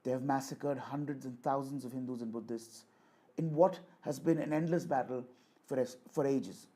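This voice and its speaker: Husky man delivering a political speech. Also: medium pitch, steady tone, mellow. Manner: declamatory, deliberate, repeats phrases for emphasis. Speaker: orator reading a voice sample. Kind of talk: rhetorical political speech, rehearsed with repeated takes.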